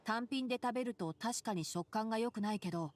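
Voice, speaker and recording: Calm young male game ranger, voiced by a woman, anime style, light higher voice, fast and explanatory. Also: natural voice. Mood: calm, thoughtful. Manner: composed, explanatory.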